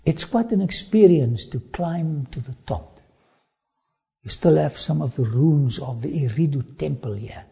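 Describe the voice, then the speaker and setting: A man with an Afrikaans accent presents a travel documentary, leaning into each phrase with slow emphasis. His voice sits a little high but sounds dark and husky, with a gravelly edge. He drifts into sermon-like asides. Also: expressive.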